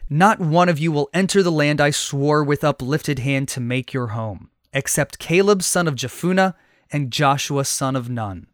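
A man voicing a solemn, aggrieved God passing judgment in grave, measured pronouncements, in a Bible story. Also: fairly high pitch, dry recording, studio quality, natural voice. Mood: grave reproach.

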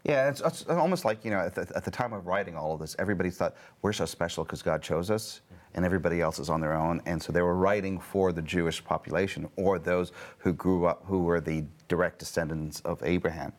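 A man's voice: sits low, quick pace. A man hosting a casual Bible study discussion, playful and joking as he asks questions. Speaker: host.

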